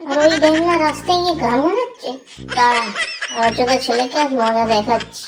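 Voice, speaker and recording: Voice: high voice, a little fast. An actor voicing a bossy, impatient wife who scolds and curses in a comedy cartoon. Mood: angry, impatient. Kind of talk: Bengali comedy cartoon voice acting.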